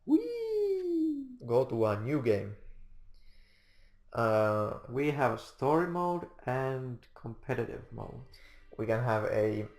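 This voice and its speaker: Young guy rambling through a game, gravelly voice, echoey room. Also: medium pitch, a little mellow. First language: Finnish. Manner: joking, rambling, laughs often. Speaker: gaming channel host. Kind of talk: casual let's-play commentary.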